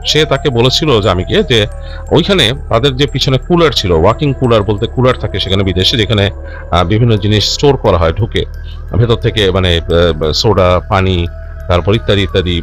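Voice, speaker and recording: A man narrates a ghost story as a host, speaking conversationally with lively pitch movement. He often pauses, restarts and repeats himself, dropping in English words, over background music. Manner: halting, self-correcting, repeats and rephrases phrases as he goes; mixes English words into his speech. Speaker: host narrating listener-submitted ghost stories.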